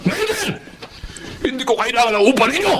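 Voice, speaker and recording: An actor playing a furious, bitter landlord in a Filipino radio drama, blustering and emphatic. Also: male voice, very high voice, very animated, fairly quick, background noise. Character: furious landlord man, bitter, shouting at tenants.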